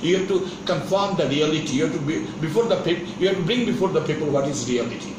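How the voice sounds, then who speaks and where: A man from Bangladesh lecturing a class in a measured, repetitive way, often stopping and restarting his sentences. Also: a little high, expressive, distant mic. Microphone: far room microphone. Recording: echoey classroom from afar, air conditioning noise.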